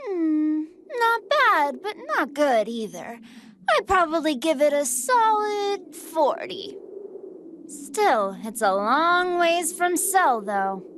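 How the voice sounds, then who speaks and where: Anime game villainess: high-voiced gluttonous android, very animated, playfully menacing and taunting. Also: female voice, slow pace, a little hiss or hum, full character voice. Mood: appraising, unimpressed. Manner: taunting, smug. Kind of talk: English anime game dub acting.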